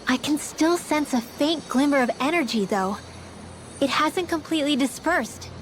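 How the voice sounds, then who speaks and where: A woman's voice: high-pitched, lively intonation, moderate pace, slight background noise. A woman playing a sensitive, hesitant teenage girl mage in a fantasy video game cutscene. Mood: uneasy. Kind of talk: English dubbed video game cutscene acting.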